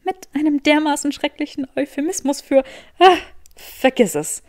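A woman's voice: high-pitched, normal speed, a little mellow, voice pushed up, close to an everyday voice. A woman voicing an exasperated, bossy young woman who speaks in a snappy, indignant way in an audiobook story. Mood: flustered indignant.

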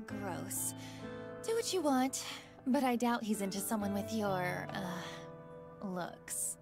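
A woman voicing a cool, sharp-tongued young female office temp in a visual novel, curt and dismissive. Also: medium pitch, slow pace, pitched below the performer's own voice. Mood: irritated. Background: game music.

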